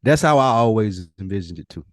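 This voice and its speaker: A man's voice: lowish voice, animated, a little fast, no echo, studio quality. An American man co-hosting a podcast in casual, slangy, appreciative conversation. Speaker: podcast host.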